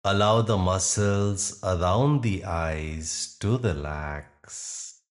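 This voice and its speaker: A man guiding a meditation in soothing, measured phrases with long, deliberate pauses. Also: deep voice. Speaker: meditation guide. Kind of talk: guided meditation narration.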